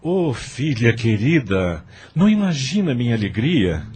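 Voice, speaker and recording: Guy doing an older doting dad in an audio novel, effusive, voice all over the place, loud music behind. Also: mid-range voice, big pitch swings, unhurried. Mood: delighted. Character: doting, overjoyed father longing for a grandson. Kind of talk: audio drama acting.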